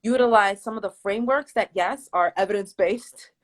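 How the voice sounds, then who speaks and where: A woman's voice: mid-range voice, fairly quick. Reflective woman, English not her first language. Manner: reflective, thinking aloud with many "like" fillers and restarts. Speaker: therapist guest. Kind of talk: casual conversation on a live stream.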